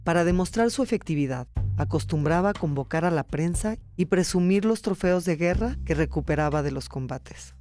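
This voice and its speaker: A man's voice: a little high, expressive, normal speed, hardly any pauses, darkish tone. A man reading scripted documentary narration. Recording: music behind. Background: music.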